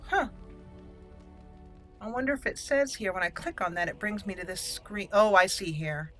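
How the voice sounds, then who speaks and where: A woman's voice: medium pitch, animated, moderate pace, fairly bright tone. A woman giving casual, playful let's play commentary on a game, thinking aloud as she goes. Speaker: gaming channel host and player. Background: game music.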